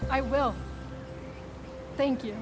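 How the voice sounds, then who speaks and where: A woman's voice: high-pitched, slow pace, music in the background. A woman voicing a determined, earnest young ladybug with an emphatic, pleading manner in an audio drama. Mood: tender. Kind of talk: audio drama cartoon acting.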